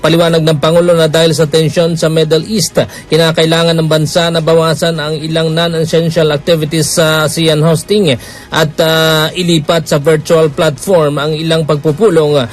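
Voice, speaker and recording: A man files a radio news report in a steady, formal read. His voice sits slightly high for a man, and a faint music bed runs underneath. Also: normal speed. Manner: steady formal reading with brief hesitations. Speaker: field reporter.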